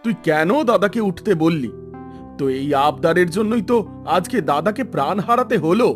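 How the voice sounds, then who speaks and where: Man acting a mournful, higher-voiced cartoon father. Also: animated, moderate pace, soft background music. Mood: reproachful grief. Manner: mournful, emphatic lamenting. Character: sorrowful village father of two.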